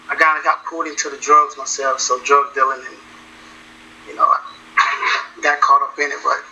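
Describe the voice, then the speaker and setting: A man from South Carolina answers interview questions in an easy, rambling way, circling back over the same points. His voice is very bright and a little high, and the recording sounds muffled with a faint hiss behind him. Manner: relaxed, repeats himself, drawn-out phrasing. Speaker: musician guest.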